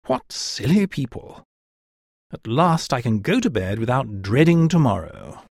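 A man's voice: medium pitch, big pitch swings, unhurried, gravelly, darkish tone, no echo, studio quality, pitched above the performer's own voice, heavily acted. A man reading a story aloud and voicing an irritable professor who blusters with indignation. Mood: weary relieved.

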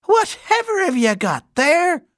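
Performer voicing a shrill, fussy older housekeeper woman. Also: sing-song, normal speed, dry recording, clean studio sound, natural voice. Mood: suspicious, doubtful. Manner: exclamatory, fussing, blunt. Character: stout, motherly, fierce older housekeeper woman, fussy and kindly.

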